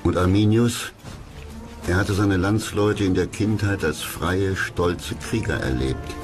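A man reading scripted documentary narration in a measured, dramatic storytelling way. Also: low voice. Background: music behind the voice.

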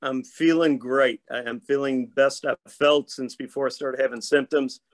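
A man in his fifties with a hoarse voice talks as a guest on a video call from his room at home. He lays his stories out in a measured, detailed way. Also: medium pitch, steady tone. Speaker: actor guest. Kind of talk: casual interview chat.

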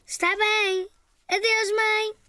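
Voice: extremely high pitch, level tone, slow pace, sharp tone, no room sound, studio quality, exaggerated voice. A performer voicing a cheerful, eager four-year-old boy in a European Portuguese children's cartoon dub. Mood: excited.

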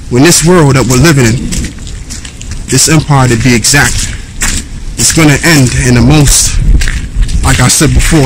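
A middle-aged man speaks in a mid-pitched voice while walking through an open park, holding the camera at arm's length. He reads scripture slowly and then preaches on it. Clear outdoor noise and wind run under his voice. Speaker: religious vlogger. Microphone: handheld camera at arm's length.

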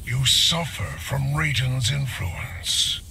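Gravelly, menacing game villain. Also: male voice, mid-range voice, lively intonation, slow pace, very noisy, full character voice. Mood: cold threat. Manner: imperious, threatening. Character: menacing, scornful, powerful male villain. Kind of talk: video game voice acting.

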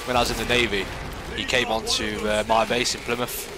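A man's voice: fairly low pitch, level tone, a little fast, over music. A British man chatting casually and informally with viewers while he plays a game. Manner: chatty, informal, drifting between game and chat. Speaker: game streamer. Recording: very noisy stream, game sound behind.

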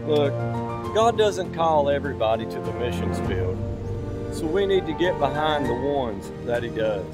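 A man in his forties delivering an earnest scripted monologue as a church vlog host, in measured, preacherly phrases. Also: medium pitch, very animated. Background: instrumental music.

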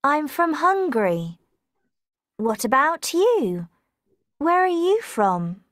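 A friendly eighteen-year-old girl from Hungary in a course-book listening dialogue. She has a slightly high, lively voice and speaks very slowly. The recording is dry and close. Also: dry recording, full character voice. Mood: plain. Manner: slow, careful spelling out letters. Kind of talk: scripted textbook dialogue.

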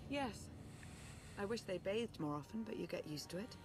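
Brisk guarded spymaster played by a woman. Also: mid-range voice, expressive, music in the background, lightly acted. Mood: guarded, dry. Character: brisk, guarded female spymaster, sci-fi game.

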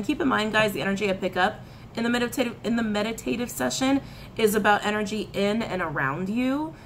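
A woman's voice: mid-range voice, a little hiss or hum, low-quality recording. A woman in her thirties, giving a casual tarot reading to camera in a small room, talking with lots of gestures and repeated phrases. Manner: chatty, animated hand gestures, frequent laughs and repetitions. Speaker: tarot reader. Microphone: camera in front, head and shoulders framing.

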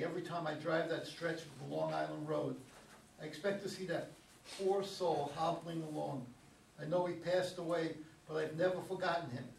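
Play-reading part: genial, joking man read by a male actor, high-pitched, emphatic, heard in an echoey room. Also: gravelly. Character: genial, joking man narrating his memories to the audience. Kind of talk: staged script reading. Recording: echoey room, distant mic, faint noise.

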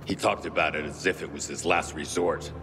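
Gravelly, composed military commander. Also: male voice, a bass voice, fairly even intonation, brisk, over music. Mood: measured. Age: middle-aged. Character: composed, calculating middle-aged male military commander. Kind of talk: dramatic video game acting.

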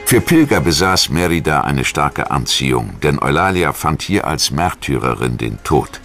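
A man reading scripted documentary narration in a measured, formal manner, as a studio voice-over. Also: extremely low pitch, big pitch swings, vocal fry, a bit bright. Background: music.

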